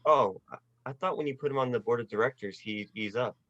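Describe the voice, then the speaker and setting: A man playing an earnest, restless young man with big dreams in a radio play, speaking haltingly. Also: medium pitch, fairly bright tone, dry recording, muffled recording, close to an everyday voice. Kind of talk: 1940s-style radio play acting.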